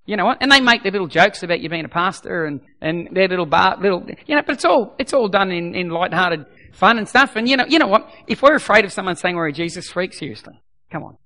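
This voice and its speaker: A middle-aged man preaching as a pastor, his voice a bit croaky. He talks emphatically, repeating his points and dropping to a soft voice for prayer. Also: sits high, lively intonation, fast. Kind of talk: sermon.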